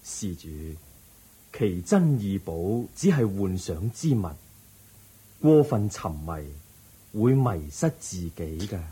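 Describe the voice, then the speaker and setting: An actor playing a serene, solemn Buddha who preaches calmly in a Cantonese radio drama, with a Hong Kong Cantonese accent. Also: male voice, on the low side, husky, heavily acted. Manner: measured, calm, didactic.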